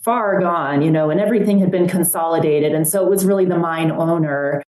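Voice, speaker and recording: A woman's voice: on the low side, fairly quick, darkish tone. A woman speaking as an interview guest, explaining things in a conversational way. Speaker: museum professional, interview guest. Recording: roomy, echoey sound.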